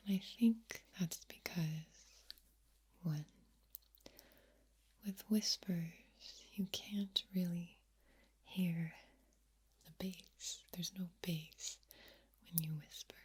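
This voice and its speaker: A woman with a low voice, whispering ASMR to the viewer in a soft, drawn-out, playful way and softly repeating words. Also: husky. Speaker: ASMR creator and streamer.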